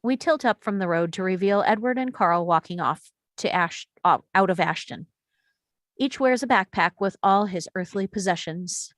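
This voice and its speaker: A woman's voice: mid-range voice, normal speed, no echo. A woman reading stage directions as the narrator of a screenplay table read, in a scripted storytelling style.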